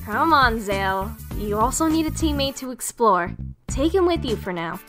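A woman voicing a cheerful, encouraging fox-like guild creature in an animated comic dub, breezy and reassuring. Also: on the high side, normal speed, music in the background, lightly acted. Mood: cheerful coaxing.

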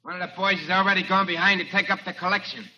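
A man's voice: high-pitched, fairly even intonation, very fast, bright, forceful tone, highs cut off. An actor playing a brash, menacing male bank robber in 1940s radio gangster style. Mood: commanding. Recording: echoey, noisy old radio broadcast.

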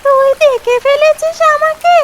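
Shrill, extremely high-pitched witch ghost. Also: steady tone, measured pace, a little hiss or hum. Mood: menacing. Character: greedy, menacing shakchunni witch ghost, shrill and spiteful. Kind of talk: cartoon fairy-tale voice acting.

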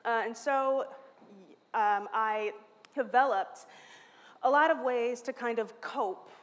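Slow-spoken woman who stutters, in a hall. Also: on the high side. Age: 30s. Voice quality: stutters, with repeated sounds and restarts. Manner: candid and self-mocking. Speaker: conference speaker. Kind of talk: lightning talk. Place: large hall, standing at a lectern on stage. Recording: long reverb from a large hall.

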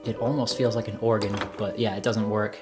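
A man's voice: fairly low pitch, flat delivery, brisk. Male vlogger, twenties, casual exploring narration, musing delivery, indoor room, light music underneath. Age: 20s. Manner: musing, wondering aloud. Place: indoors, rooms of an abandoned house.

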